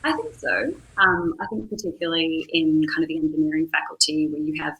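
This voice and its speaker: A woman's voice: low-pitched, fairly quick, fairly bright tone, poor audio. A woman speaking as a startup co-founder on a panel, explaining her work in long sentences as she thinks aloud.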